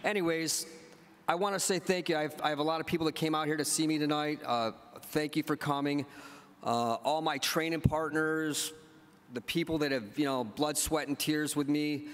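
A man's voice: on the high side, steady tone, normal speed. A middle-aged man speaks at a banquet podium in a big hall, his words trailing into a long reverb. He rambles through stories in a loose, joking way, stopping and restarting and playing out other people's lines. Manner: rambling storytelling with fillers and restarts, acting out quoted dialogue. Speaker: hall of fame inductee giving acceptance speech. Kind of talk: casual, joking acceptance speech. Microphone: podium microphone.

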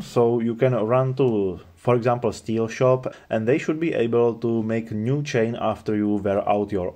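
A man's voice: medium pitch, a little mellow. A man narrating a scripted product review in a matter-of-fact way.

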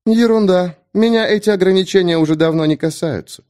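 Man voicing a haughty, higher-pitched vampire woman. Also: brisk, natural voice. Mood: lazily disdainful. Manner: calm, soft, lazily superior. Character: haughty, condescending female vampire envoy, centuries old.

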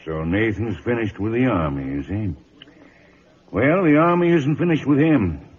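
An actor playing a brisk cavalry officer with a husky voice in an old-time radio drama. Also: male voice, fairly low pitch, lively intonation, close to an everyday voice. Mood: stern, pointed. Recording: muffled old radio broadcast.

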